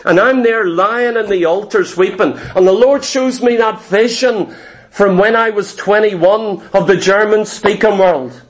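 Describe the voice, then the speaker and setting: A man's voice: high voice, unhurried. A middle-aged Irish man preaching a personal testimony, telling his story earnestly in short, clipped phrases and often repeating himself. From Ireland.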